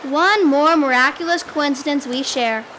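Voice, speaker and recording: A girl plays a spirited young maiden of old Sleepy Hollow in a school radio drama. She is high-pitched and bright, hopeful in temper, with faint noise behind. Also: moderate pace. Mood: earnest, admiring. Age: young teenager. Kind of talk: school radio play acting.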